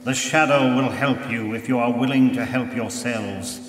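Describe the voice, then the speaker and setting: A man acting a commanding, ominous crime fighter in a live radio drama, speaking in solemn, measured pronouncements. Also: mid-range voice, natural voice. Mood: grave, commanding. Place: church used for live stage performance. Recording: distant, echoey, noisy live hall recording.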